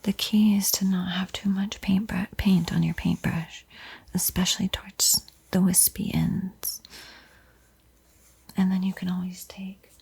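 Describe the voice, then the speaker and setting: A woman whispers painting instructions in a soothing, encouraging ASMR style, with a breathy, airy voice. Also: medium pitch, level tone, unhurried, a little mellow. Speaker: art tutorial host.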